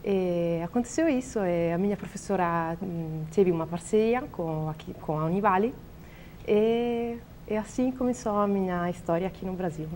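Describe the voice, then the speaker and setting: A young Italian woman with an Italian accent, a biologist answering interview questions in a language she is still learning, pausing often to search for words. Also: lowish voice, warm tone, a little hiss or hum. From Italy. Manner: hesitant, searching for words, many fillers.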